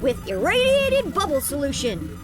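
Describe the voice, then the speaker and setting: A woman's voice: high voice, huge pitch swings, moderate pace. A woman voicing a brainy, bossy boy inventor in a cartoon game, explaining things in a know-it-all way. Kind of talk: animated game cutscene acting. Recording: game music behind the voice.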